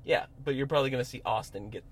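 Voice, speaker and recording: A man's voice: mid-range voice, racing, slight background noise. A man co-hosting a casual sports podcast, hesitant, often stammering and restarting his sentences. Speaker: podcast co-host.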